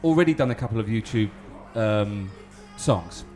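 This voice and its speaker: A man's voice: lowish voice, animated, normal speed, darkish tone, soft background music. A man hosting a morning show, bantering and interviewing in a joking, rambling, self-deprecating way. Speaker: radio show host.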